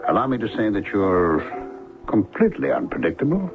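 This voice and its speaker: Radio drama: suave, flirtatious professor, theatrical flattery, very animated delivery with some creak. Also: male voice, on the low side, a little fast. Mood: smitten, probing. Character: smooth, flirtatious professor, a practised ladies' man. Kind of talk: old-time radio drama acting. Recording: tinny band-limited old radio broadcast, music behind.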